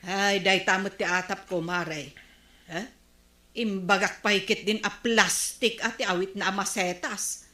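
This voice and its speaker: An indignant village gossip for comedy radio drama. Her middle-pitched voice is bright and drawn out, with an Ilocano Filipino accent. It rings a little in a roomy space. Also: steady tone, measured pace. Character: indignant chattering village neighbour woman.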